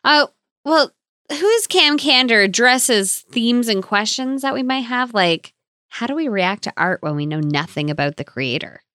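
Expressive woman co-hosting a podcast. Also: on the high side, unhurried, smooth, no room sound, clean studio sound. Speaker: podcast co-host. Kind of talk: casual introduction, partly scripted.

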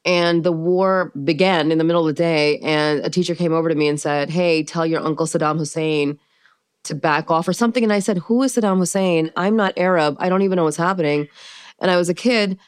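A woman born in Pakistan and raised in the United States, telling stories in a casual interview, chatty and animated with lots of fillers. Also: lowish voice, a little fast, clear, resonant tone, dry recording, clean studio sound. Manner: animated storyteller with frequent fillers and laughs. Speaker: interview guest.

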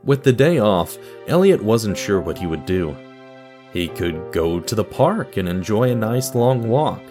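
A man's voice: on the low side, big pitch swings, unhurried, a little mellow, faint music behind. A man delivering scripted narration and announcements for an audio drama.

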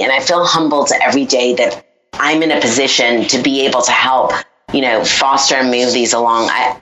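A woman with a strikingly deep voice, speaking as an earnest, reflective interview guest. Her voice echoes around the room, and a faint hiss sits under it. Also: fairly bright tone. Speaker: guest, fundraising advisor. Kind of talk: interview guest talking about her goals.

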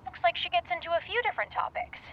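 A woman playing a breezy, deadpan conspiracy sidekick in a comedic audio drama, casual and offhand. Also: very high-pitched, fast, dull audio. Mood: offhand, dry.

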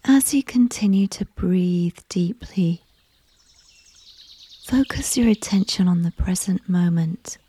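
Slow, breathy, near-whispering woman. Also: fairly low pitch, no echo. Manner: gentle, unhurried, soothing delivery. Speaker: guided meditation narrator. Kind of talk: slow scripted sleep visualization, soft and whispery.

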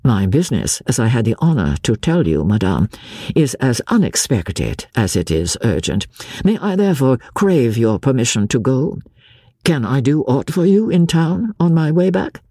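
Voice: medium pitch, big pitch swings, normal speed, warm tone, breathy edge, no room sound, studio quality. A reader voicing a cold, aloof aristocratic husband who speaks in a formal, drawling manner. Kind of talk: audiobook character voice.

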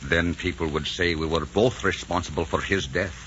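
A man's voice: deep voice, normal speed, fairly bright tone. An actor playing a bold, passionate nobleman, theatrical and emphatic in 1940s radio drama style. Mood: grave passion. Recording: muffled, hissy 1940s radio recording.

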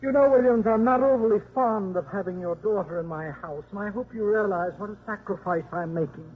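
An actor playing a smug, disdainful husband in a 1950s radio drama. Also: male voice, high-pitched, brisk, husky. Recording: tinny, noisy old radio recording.

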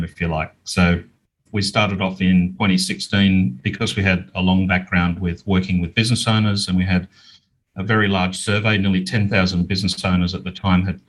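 Older aussie guy, deep husky voice, explaining stuff in an interview, down to earth. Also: a bit bright, low-quality recording. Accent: Australian. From Australia. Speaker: interview guest, business owner and author.

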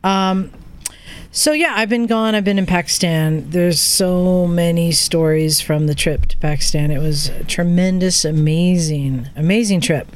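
A woman's voice: fairly low pitch, a little slow. A tired, jet-lagged woman telling trip stories in a casual group podcast conversation. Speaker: podcast regular recounting a trip. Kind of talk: casual group chat storytelling. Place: garage with other people talking. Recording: noisy room around a group microphone.

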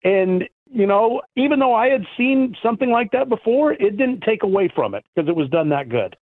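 A man's voice: high-pitched, big pitch swings, moderate pace, no room sound. Man on a tinny phone line. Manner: enthusiastic, reminiscing, many "you know" fillers. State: tickle in his throat. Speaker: guest. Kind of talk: casual chat.

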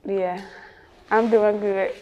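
A woman's voice: mid-range voice, flat delivery, slow pace, warm tone, big-room sound, lo-fi sound. A woman with a Chicago accent chatting casually as an interview guest, candid and slangy. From Chicago, United States. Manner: candid, slangy, often chuckling.

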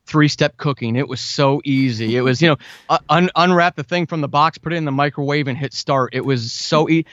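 A man's voice: on the high side, fairly quick, fairly bright tone, no echo. Man in casual interview, dull dry recording. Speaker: podcast guest, writer and audio drama podcaster. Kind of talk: casual interview talk, giving advice. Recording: dull, lo-fi, dry close sound.

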